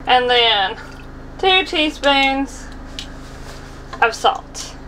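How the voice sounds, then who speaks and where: A woman's voice: sits high, lively intonation, extremely slow, sharp tone, a little hiss or hum, rough recording. An American woman in her twenties casually talking through a cooking demonstration as a vlog host, recorded on a camera in a small indoor kitchen. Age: 20s.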